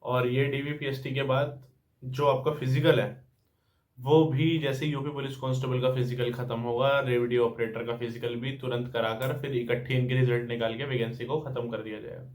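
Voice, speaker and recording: A man's voice: mid-range voice, level tone, normal speed. A young man giving informal updates and advice as a channel host, explaining point by point and mixing in English words. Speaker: exam-news channel host.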